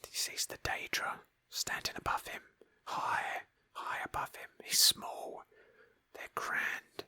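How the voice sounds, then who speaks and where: A woman narrating a roleplay game playthrough in a breathy ASMR whisper, slowly and with pauses. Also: pushed and bright.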